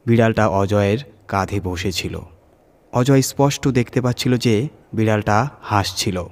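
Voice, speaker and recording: A man's voice: on the low side, measured pace. A man narrating a scripted horror story as its reader.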